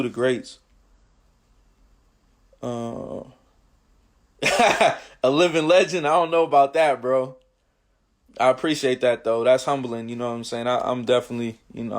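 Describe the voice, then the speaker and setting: Slangy friendly dude interviewing, lots of fillers, normal pitch. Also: male voice. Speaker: interviewer and host. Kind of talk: casual interview chat.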